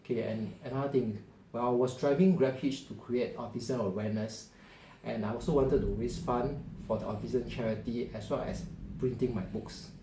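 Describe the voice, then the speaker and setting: A man's voice: mid-range voice, steady tone, moderate pace, warm tone. A middle-aged man with a Singaporean English accent, a financial planner and author making an earnest, conversational appeal to his viewers. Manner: earnest, loose and conversational with frequent restarts. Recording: echoey room with faint ambient noise.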